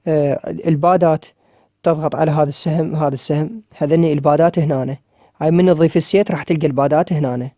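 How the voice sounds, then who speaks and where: A man with an Iraqi Arabic accent walking viewers through an app step by step, repeating each tap and filling pauses with a drawn-out "ah". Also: a little high, fairly even intonation, warm tone, dry recording, studio quality. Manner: repetitive, pointing out each tap with drawn-out "ah" fillers. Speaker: app tutorial maker. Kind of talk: step-by-step screen tutorial.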